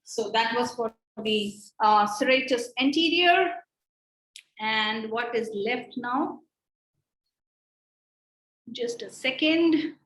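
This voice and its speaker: A woman's voice: a little high, lively intonation, slow, pauses often, a bit bright. A woman teaching a clinical exam by demonstrating on a volunteer, explaining step by step and checking in with frequent "okay" and "right". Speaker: medical teacher. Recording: very echoey room, voice from far off the mic.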